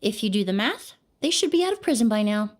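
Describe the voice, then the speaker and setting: An actress voicing a composed young perfumer who investigates with calm, polite, measured deduction in a game cutscene. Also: female voice, mid-range voice, natural voice. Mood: explaining. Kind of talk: video game cutscene acting.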